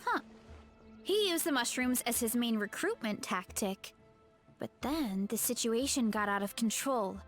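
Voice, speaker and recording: An actress voicing a friendly young woman from an orchard family in a game, talking in a relaxed, conversational way. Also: fairly high pitch, unhurried, soft background music. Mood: thoughtful.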